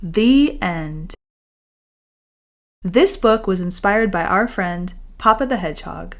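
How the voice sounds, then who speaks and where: A woman children's author reading their own picture book aloud and chatting to young listeners in an expressive, careful storytelling manner. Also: medium pitch, slow.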